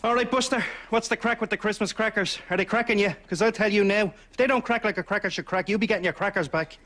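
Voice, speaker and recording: An actor with an Irish accent playing a matter-of-fact, mildly exasperated adult son in a sitcom. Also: male voice, high-pitched, fast, noisy background, natural voice. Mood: brisk. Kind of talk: studio sitcom acting. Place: indoor sitcom kitchen set.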